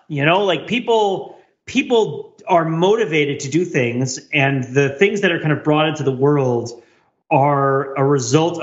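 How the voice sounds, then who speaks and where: A man co-hosting a podcast, talking casually in a questioning, argumentative way on slightly dull audio. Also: a little high. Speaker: podcast co-host. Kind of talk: casual conversational debate.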